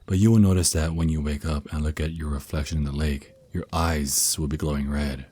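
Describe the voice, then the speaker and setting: A man playing a centuries-old vampire naga boyfriend, a tender mentor speaking slowly and soothingly in an intimate ASMR roleplay, with a very deep, husky voice. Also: steady tone, warm tone, dry recording. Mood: reassuring, playful. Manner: slow, soothing, teasing endearments.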